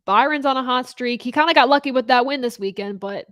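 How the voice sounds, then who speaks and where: A woman's voice: sits high, quick pace, fairly bright tone, no echo, studio quality. A young woman hosting a live racing talk stream, chatting casually and answering viewer questions with an upbeat, laughing manner. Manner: chatty, upbeat, laughs often, many fillers and restarts.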